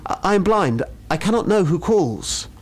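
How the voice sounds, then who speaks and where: A man's voice: high-pitched, very animated, moderate pace, pitched above the performer's own voice. A man voicing a frightened, humble blind musician in a storybook tale, timid and stammering.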